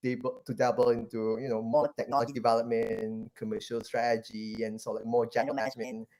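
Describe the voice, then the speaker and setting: A man's voice: medium pitch, level tone, fast, a little mellow. Rambling Malaysian man telling his story. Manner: rambling, many fillers and restarts. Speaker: guest, venture capital partner. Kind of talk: casual interview, life story.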